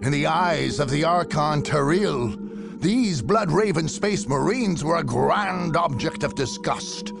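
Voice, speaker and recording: An actor playing a grandiose war chronicle narrator in a video game, raspy and theatrical with deliberate emphasis. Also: male voice, on the high side, expressive, music in the background, full character voice. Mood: menacing, disdainful. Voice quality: gravelly, raspy. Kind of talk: scripted dramatic game narration.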